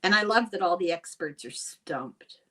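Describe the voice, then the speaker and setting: A woman's voice: fairly low pitch, lively intonation, moderate pace, bright, forceful tone. A woman speaking as the host of a casual, conversational chat.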